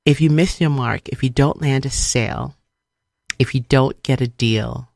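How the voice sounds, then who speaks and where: A woman's voice: rumbling low, unhurried, creaky, a little mellow, no echo, studio quality. A middle-aged woman from Liberia giving a motivational radio talk, stressing points emphatically with repeated phrases. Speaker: radio show host, speaker.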